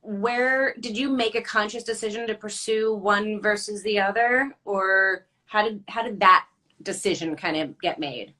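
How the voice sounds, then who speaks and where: A woman's voice: mid-range voice, measured pace, bright, forceful tone. Jersey woman hosting a chat, super upbeat and giggly, video call from a hotel room. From New Jersey, USA. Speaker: podcast host. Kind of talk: casual interview chat.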